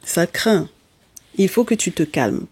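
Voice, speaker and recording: Tarot reader, non-native speaker, emphatic and scolding, medium pitch, dry close sound. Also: very animated, takes it slowly, a little mellow, slightly rough, no room sound. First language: not French. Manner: emphatic and scolding, often checks in with "okay?"; speaks directly to listeners with terms of endearment. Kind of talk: spoken tarot reading addressed to viewers.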